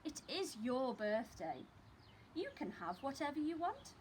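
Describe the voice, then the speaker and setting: A woman voicing a stern, harried but loving mother elephant with a brisk, firm manner in a children's storybook. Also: high voice, big pitch swings, darkish tone, slight background noise. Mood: kindly.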